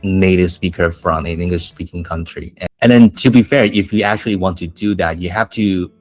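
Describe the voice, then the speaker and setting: Man, Taiwanese accent, English teacher, casual podcast chat, lowish voice, dry sound. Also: fairly quick, dry recording, faint music behind. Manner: animated storytelling, frequent restarts and laughs.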